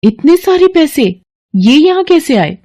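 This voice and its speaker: A woman's voice: high-pitched, very animated, a little slow, dark tone, pitched above the performer's own voice. A woman voicing an earnest, troubled young female student in a story, speaking emotively. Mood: worried, suspicious. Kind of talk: dramatized story dialogue.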